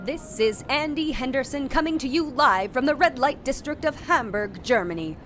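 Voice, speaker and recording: A woman's voice: high voice, expressive, normal speed, a bit bright, faint music behind. A woman playing an earnest, self-important TV reporter giving a mock live news report in an audio drama. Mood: breathless, self-important.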